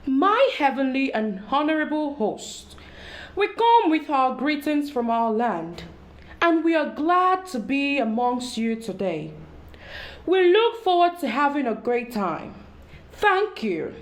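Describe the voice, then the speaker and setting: A woman's voice: high voice, very animated, slow, full character voice. A woman voicing a cunning, greedy male tortoise in a children's storybook, with a formal, persuasive way of talking. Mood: grand, courteous.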